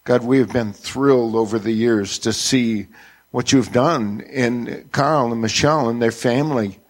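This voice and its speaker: A man's voice: medium pitch, a little slow. A male pastor praying aloud before others in an earnest, reverent manner, addressing God again and again. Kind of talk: extemporaneous public prayer.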